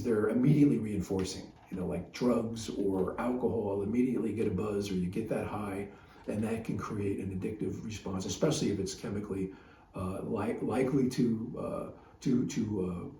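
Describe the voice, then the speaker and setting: A man gives a reflective spiritual talk as a podcast host, his voice relaxed and dark in tone. He rambles and halts as he goes. The recording is roomy and a little distant from the mic, with faint guitar music. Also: mid-range voice, a little hiss or hum.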